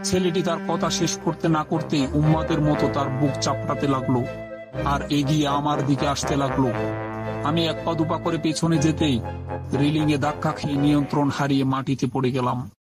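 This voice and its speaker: A man's voice: on the high side, steady tone, moderate pace, over loud music. A man narrating a scripted first-person horror story in a dramatic, suspenseful way.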